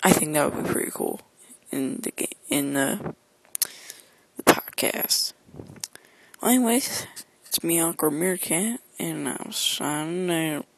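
A man leaving a casual listener message in a hushed, halting near-whisper. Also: a little high, expressive, vocal fry, no echo. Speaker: listener calling in with a recorded message.

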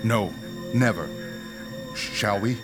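A dignified doctor who leads ghost hunters in a radio play. His fairly low voice is measured, formal and pedantically precise. Music is clearly audible behind him. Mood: calm, commanding. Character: dignified, pedantic male ghost-hunting doctor and team leader. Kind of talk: radio drama acting.

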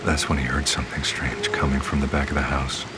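A grave storyteller in a dark audio drama. His voice is extremely low, measured and portentous, with music clearly playing underneath. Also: level tone. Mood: grave, foreboding. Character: grave, ominous storyteller narrating a dark omen. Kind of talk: scripted audio drama narration.

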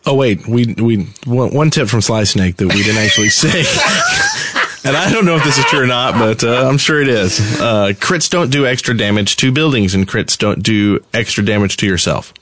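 A man's voice: medium pitch, expressive, moderate pace, fairly bright tone, no room sound. A man co-hosting a podcast with casual chat about gaming. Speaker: podcast co-host.

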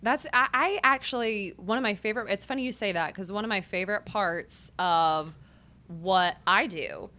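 A woman's voice: medium pitch, normal speed, bright, forceful tone. A woman, a sports reporter hosting a podcast, asking questions and chatting with many "like" fillers. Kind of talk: podcast interview, casual chat.

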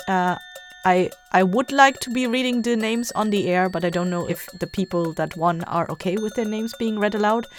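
A woman hosting a podcast, giving an informal, friendly announcement to listeners in a conversational way. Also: on the high side. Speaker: podcast creator and host. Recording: light music behind the voice.

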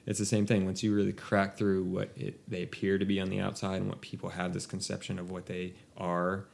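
A man's voice: deep voice, monotone, a little fast, creaky, darkish tone. A young man chatting casually about music on a podcast, rambling with many restarts. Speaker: podcast co-host.

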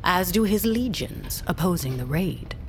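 A woman playing an imperious leader of a secret order in a fantasy audio drama, measured and at times hushed. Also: lowish voice, animated, slight background noise. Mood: grave, zealous.

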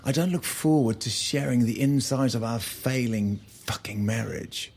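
An actor playing an earnest, frustrated husband, speaking in a strained, halting, naturalistic way in an audio drama. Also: male voice, mid-range voice, creaky, a little mellow, husky. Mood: frustrated, pleading.

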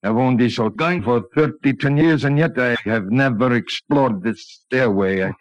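An actor playing a cautious, courteous older hotel proprietor in 1940s radio style, with formal, hesitant speech. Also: male voice, medium pitch, normal speed, no room sound, muffled recording, natural voice. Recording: vintage 1940s radio drama recording.

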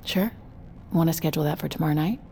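An actress playing an evasive but accommodating therapist, hesitant and stalling, in a naturalistic audio drama. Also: female voice, low-pitched, quick pace, creaky, dark tone, slight background noise.

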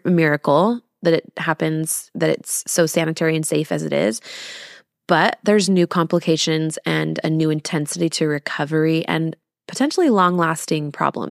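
A woman in her thirties hosting a podcast in a casual, confiding way, using emphatic rhetorical questions and drawn-out words. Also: sits low, vocal fry, no room sound, studio quality. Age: 30s. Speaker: podcast host.

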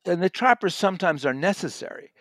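A man's voice: high-pitched, expressive, fast, a bit bright, no room sound, studio quality. A man giving a casual, conversational audiobook review, thoughtfully rephrasing as he goes. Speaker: magazine contributor and audiobook reviewer.

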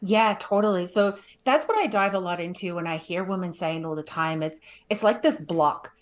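Lower-pitched New Zealand woman, chatty. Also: normal speed. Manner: chatty, informal, often ends phrases with "right?". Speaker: podcast guest, home organizer. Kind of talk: casual conversational interview.

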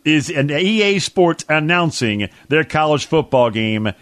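A man with a slightly high voice co-hosts sports talk radio in a dry studio sound. His delivery is casual and colorful, full of slang and exaggeration. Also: animated, unhurried, fairly bright tone, no room sound.

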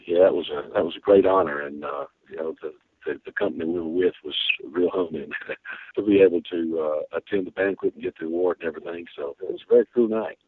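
A man interviewed as a guest musician, answering in a halting, casual way with fillers and repeated words. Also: deep voice, animated, husky, no room sound. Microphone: telephone. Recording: tinny phone line.

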